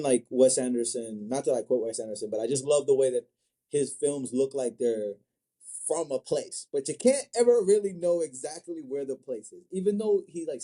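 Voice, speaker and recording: Guy from africa being interviewed, super mellow n husky, casual, halting, repeats words while he thinks. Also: mid-range voice. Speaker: manga creator, podcast guest.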